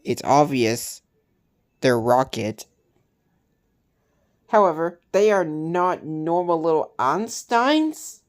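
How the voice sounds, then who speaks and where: Reaction host: low-pitched woman, unhurried casual commentary in short halting phrases. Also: very animated.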